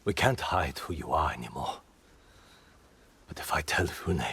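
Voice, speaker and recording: An actor playing a guilt-laden but defiant, battle-worn rebel fighter in a video game, with a husky, gravelly voice. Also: male voice, extremely low pitch, lively intonation, unhurried, fairly bright tone, exaggerated voice. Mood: wary. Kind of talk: dramatic video game cutscene acting.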